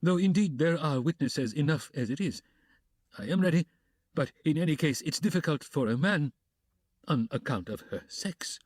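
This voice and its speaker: A pompous official who hedges and blusters, his voice pitched up and husky, put on by a male narrator. Dry and close. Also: expressive, moderate pace, darkish tone, dry recording. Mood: blustering. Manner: blustering, hedging.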